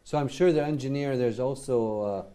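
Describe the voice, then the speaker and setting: A man's voice: medium pitch, moderate pace, mellow, clean tone. A man hosting a TV studio interview, asking his guest questions formally and politely. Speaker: TV host.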